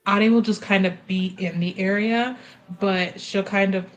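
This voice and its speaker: A woman's voice: mid-range voice, fairly even intonation, normal speed, lo-fi sound, slight background noise. A woman playing in a tabletop game, explaining her character's actions in a chatty, filler-heavy way. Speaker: player. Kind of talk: casual roleplay chat.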